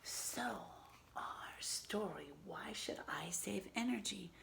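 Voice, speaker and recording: A woman reading a picture book aloud to children as a teacher, expressively and patiently, pausing to explain and ask questions. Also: mid-range voice, gravelly, fairly bright tone, a little hiss or hum. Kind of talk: animated read-aloud with simple explanatory asides.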